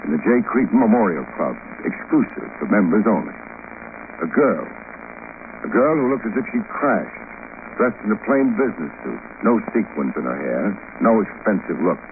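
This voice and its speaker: A man's voice: lowish voice, very animated, moderate pace, creaky. An actor playing a cool, skeptical private investigator in 1950s radio detective style, dry and world-weary as he probes and narrates. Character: cool, skeptical male private investigator, hard-boiled and wry. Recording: scratchy, noisy, narrow-band 1950s radio recording.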